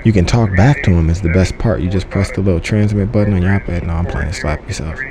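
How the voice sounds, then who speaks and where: A man's voice: deep voice, fast. A man giving a casual, unscripted app review in a slangy, joking, offhand way. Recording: clearly noisy background.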